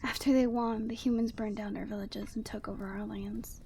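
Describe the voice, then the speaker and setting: A woman plays a lonely yeti woman with a fairly high voice, proud and motherly in an intimate ASMR roleplay. She is commanding but tender, often sinking into a breathy whisper. There is clear noise behind the voice. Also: fairly even intonation, normal speed, mellow. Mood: sad, wistful. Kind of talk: ASMR roleplay, close and slow character acting.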